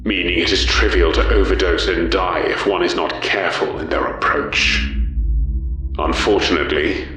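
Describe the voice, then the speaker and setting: A man playing a refined, measured, darkly courteous warrior leader whose rich voice comes through a helmet vox. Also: fairly even intonation, sharp tone. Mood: clinical regret. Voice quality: deep, rich. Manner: measured, clinical, polite. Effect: helmet vox filter. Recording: very echoey, distant, faint music.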